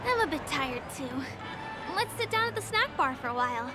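Soft-hearted young game heroine, high voice, earnest, courteous, wistful, background music. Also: female voice, very animated, normal speed. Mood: gentle, friendly. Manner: earnest, gentle, polite. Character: gentle young woman performer, soft-spoken, wistful, observant. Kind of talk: video game voice acting.

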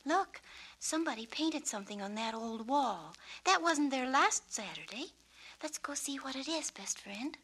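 A woman voicing an earnest, gentle young girl in a storybook, girlish and a little halting. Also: high-pitched, lively intonation, slightly rough, pitched above the performer's own voice, full character voice. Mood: urgent. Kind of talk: storybook character reading.

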